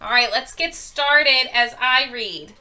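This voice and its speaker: A woman with a high, bright voice reads a children's book aloud. She tells it expressively and stumbles slightly now and then. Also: slow. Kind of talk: read-aloud storytelling.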